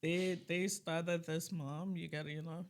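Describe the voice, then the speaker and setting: A middle-aged woman from Ghana, a chef and food business owner, talking casually as an interview guest, animated and laughing at times. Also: fairly low pitch, a little slow. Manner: animated, laughing, lively bursts.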